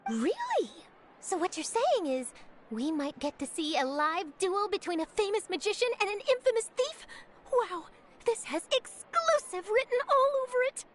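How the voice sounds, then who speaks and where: A woman's voice: very high voice, sing-song, normal speed. A woman playing an eager, bubbly young newspaper reporter in an anime game, chirpy, polite and enthusiastic. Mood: excited. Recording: music playing behind.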